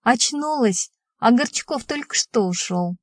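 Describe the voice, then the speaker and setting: A woman reading the part of a lively, matter-of-fact female friend in an audiobook. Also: on the high side, a little slow, no echo, studio quality. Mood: glad.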